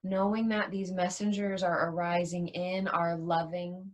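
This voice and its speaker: Slow, even-toned woman guiding meditation. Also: fairly low pitch. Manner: soothing, unhurried, with long pauses between short phrases. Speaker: yoga nidra meditation teacher. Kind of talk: guided meditation.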